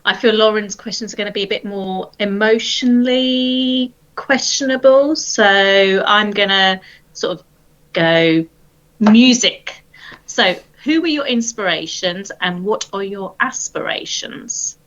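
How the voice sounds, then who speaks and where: A woman's voice: medium pitch, a little slow, a bit bright. A woman co-hosting an interview, casually introducing and asking questions. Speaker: co-host, interviewer. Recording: dull audio with faint noise.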